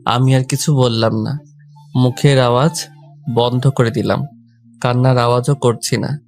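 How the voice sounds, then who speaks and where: A man's voice: mid-range voice, fairly even intonation, a little slow, darkish tone. A man reading a scripted first-person story as its narrator and lead character. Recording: background music under the voice. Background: music.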